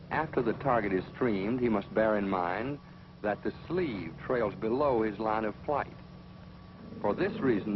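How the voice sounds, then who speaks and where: An American man narrating a 1940s instructional film in a measured, authoritative, dryly sardonic manner. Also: on the low side, noisy background. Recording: dull old film soundtrack, music behind.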